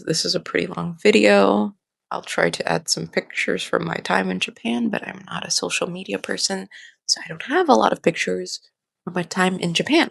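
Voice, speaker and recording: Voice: medium pitch, creaky, dry recording. A young adult vlogger with a slightly nasal voice, rambling candidly and informally and often repeating and restarting phrases. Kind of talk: casual rambling personal vlog.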